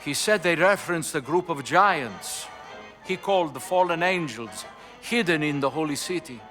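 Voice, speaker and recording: Measured, scholarly Italian priest over music. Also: male voice, fairly high pitch, animated, sharp tone. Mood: friendly. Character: scholarly, cautious Italian male priest, friendly and helpful. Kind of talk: cinematic game voice acting.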